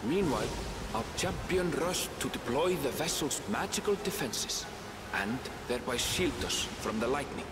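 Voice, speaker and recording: An actor playing a reflective young male scholar in a video game, narrating a voyage in measured, literary phrasing. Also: mid-range voice, expressive, long reverb tail, very noisy, lightly acted. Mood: reflective, solemn. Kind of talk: scripted dramatic narration.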